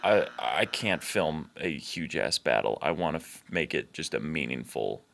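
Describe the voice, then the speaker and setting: Very deep-voiced man, casual commentary, noisy recording. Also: animated, unhurried, gravelly, fairly bright tone. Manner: blunt, swearing, informal. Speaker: filmmaker giving video commentary.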